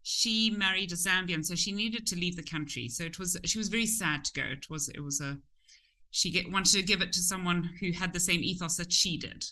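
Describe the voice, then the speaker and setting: A woman's voice: lowish voice, brisk, sharp tone, rough recording. A middle-aged woman from Zimbabwe with a Zimbabwean accent, chatting openly as an interview guest. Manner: open and chatty, some restarts. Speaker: tour company owner, guest.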